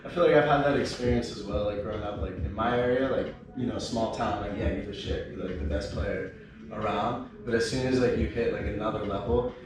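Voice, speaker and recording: A man's voice: on the low side, fairly even intonation, a little fast, recorded from a distance. A young man hosting a casual chat, laid back and joking, with lots of fillers. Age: young adult. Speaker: host. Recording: echoey room from afar with music.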